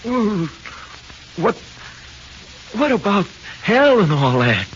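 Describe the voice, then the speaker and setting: High, jittery prisoner, old radio. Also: male voice, sing-song, full character voice. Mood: fearful, questioning. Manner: nervous, halting slangy talk. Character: jittery, frightened small-time killer in a jail cell. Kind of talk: 1940s radio horror acting. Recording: muffled hissy 1940s radio recording.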